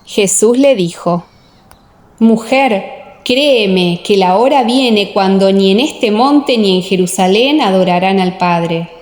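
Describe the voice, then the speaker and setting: A woman narrating a scripture audiobook in a measured, solemn, scripted reading style. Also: mid-range voice, darkish tone.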